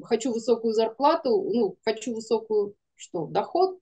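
A woman in her forties talks casually as a guest on a video call. Her voice sits a little high and has a very mellow, relaxed tone. She is frank and self-deprecating, explaining things in an informal, conversational way. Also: moderate pace, breathy edge. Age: 40s. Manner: candid, self-deprecating, laughs while talking. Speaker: guest, animation studio producer. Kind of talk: casual interview chat. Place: indoor room, video call.

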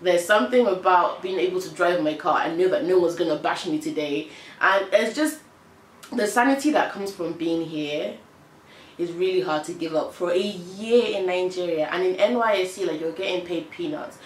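A young woman with an English accent talks frankly to camera in an animated, exasperated way. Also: fairly low pitch, normal speed, reverberant, slight background noise, low-quality recording. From United Kingdom. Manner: animated, frank, exasperated storytelling with hand gestures. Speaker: vlogger. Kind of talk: casual chat to camera. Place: small indoor room, on a sofa.

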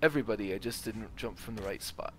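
Man explaining a game, unhurried. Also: fairly low pitch. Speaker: let's player. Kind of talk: casual game walkthrough commentary. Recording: game ambience noise behind voice. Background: video game ambience.

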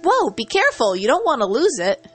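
A woman's voice: high-pitched, wildly swooping pitch, brisk, slightly rough, soft background music, pitched above the performer's own voice, exaggerated voice. A woman voicing a brash, boastful young pony athlete with a kind streak, casual and emphatic, for a cartoon fan story. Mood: boastful, excited.

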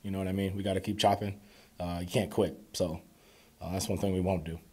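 A young man in his twenties speaks at a press podium with a low, dark, mellow voice. He sounds calm and measured and leans on lots of easy fillers. The sound is close and clean from the podium microphones. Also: husky. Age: 20s. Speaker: quarterback at a postgame press conference. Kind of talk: postgame press conference answers. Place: press conference room before a sponsor backdrop.

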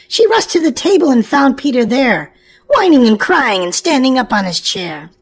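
A woman's voice: sits high, very animated, normal speed, husky. A woman hosting a children's show and reading a storybook aloud in an exclamatory way, as if to young children. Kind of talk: animated children's read-aloud narration.

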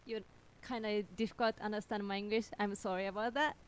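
A woman's voice: medium pitch, steady tone, quick pace, clear, resonant tone. A young woman from Japan with a Japanese accent, chatting hesitantly to a livestream audience with many ums and small laughs. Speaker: cellist streaming with a co-host. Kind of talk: casual livestream chat. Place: home music studio room. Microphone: headphones on, studio mic on a stand.

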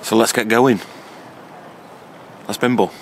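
A man in his thirties talks to a close camera outdoors, his voice thickened and lowered by a cold. He is chatty and wry, with a low-pitched tone and a faint hum of the surroundings behind him. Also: fairly even intonation, slow pace. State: has a cold, deeper voice than usual. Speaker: cycling vlogger. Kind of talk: casual travel vlog to camera. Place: outdoors at a railway station and canal. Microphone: camera close up at arm's length.

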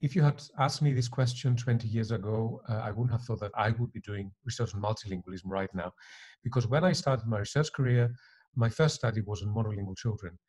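A middle-aged man from Greece, a native Greek speaker, giving reflective interview answers as a professor with a slightly husky voice. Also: on the low side, a little fast, darkish tone. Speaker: university professor being interviewed. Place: attic living room at home.

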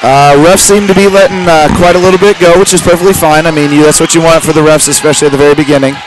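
A man with a loud voice giving halting, amateur live play-by-play of a basketball game. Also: fairly high pitch, fairly even intonation. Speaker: play-by-play sports commentator. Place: large indoor school gymnasium during a game. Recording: noisy gym crowd and bouncing ball. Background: crowd noise, basketball bounces.

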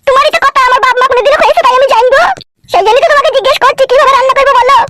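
A woman voicing a sharp-tongued, sassy, nagging village wife in a comic cartoon. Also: very high-pitched, very fast, very noisy. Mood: nagging, mocking. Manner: bickering, taunting, sassy.

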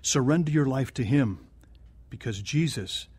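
An actor playing a kindly, earnest pastor counseling from scripture in a radio drama. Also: male voice, mid-range voice, animated, unhurried, a little mellow, breathy edge, dry recording. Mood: reassuring, earnest.

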